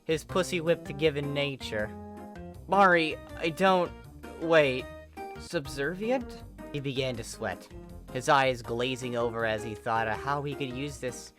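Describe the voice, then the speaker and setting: A woman narrating a comic story aloud in an expressive reading style. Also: extremely low pitch. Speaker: fan fiction reader.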